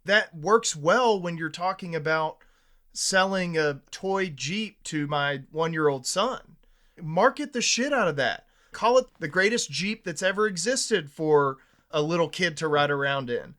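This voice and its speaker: A man's voice: fairly high pitch, expressive, unhurried, pushed and bright. A man hosting a podcast, talking in a candid, opinionated and conversational way.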